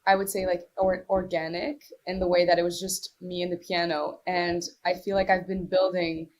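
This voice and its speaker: A woman's voice: lowish voice, level tone, moderate pace, darkish tone. A young woman from Morocco who is a singer-songwriter, answering interview questions casually with many fillers and restarts.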